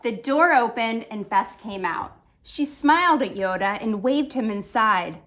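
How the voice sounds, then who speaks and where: Woman reading a kids book out loud, kinda high voice, slow n expressive. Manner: expressive, deliberate phrasing with dramatic emphasis. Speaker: author reading her own picture book aloud. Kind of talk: animated children's story read-aloud.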